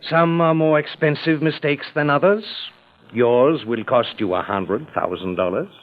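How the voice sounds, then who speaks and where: A man's voice: on the high side, dry recording, close to an everyday voice. Man acting a smug radio-drama blackmailer, tinny sound. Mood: mocking threat. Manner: suave, deliberate, mockingly polite. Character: smug, smooth-talking male blackmailer, greedy and mocking. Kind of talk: old-time radio crime drama acting. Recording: muffled, narrow old radio recording.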